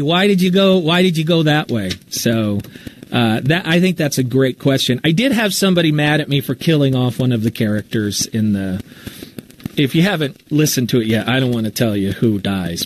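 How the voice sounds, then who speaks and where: A man's voice: a little high, a little hiss or hum. A man talking casually and candidly about his own work in a live Q&A, with a self-deprecating manner. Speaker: radio talk show host and podcast storyteller.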